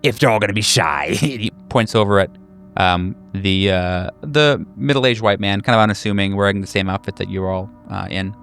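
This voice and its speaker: A man's voice: fairly low pitch, normal speed, gravelly, a bit bright, smooth, soft background music. A man improvising narration as game master of a tabletop game, tentative and often correcting himself as he describes.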